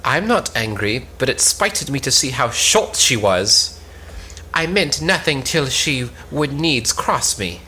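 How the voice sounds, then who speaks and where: A woman reading as a bright, haughty, quarrelsome gentlewoman. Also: extremely low pitch, animated, slow, slight background noise, heavily acted, poor audio. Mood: grudging. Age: young adult. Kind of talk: cold read of Elizabethan stage comedy.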